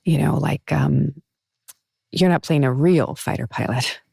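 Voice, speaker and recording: A woman's voice: low-pitched, unhurried, vocal fry, warm tone, husky, no room sound, clean studio sound. A woman hosting a casual talk show, chatty and emphatic, thinking aloud as she talks. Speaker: podcast host. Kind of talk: casual interview chat.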